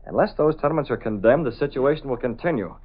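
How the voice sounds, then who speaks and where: An actor playing a resolute masked vigilante in vintage radio drama, with a clipped, authoritative, measured delivery. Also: male voice, mid-range voice, expressive, husky, highs cut off. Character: resolute masked male vigilante, cool commanding gentleman. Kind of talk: 1930s-40s radio drama acting. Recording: thin band-limited vintage radio recording.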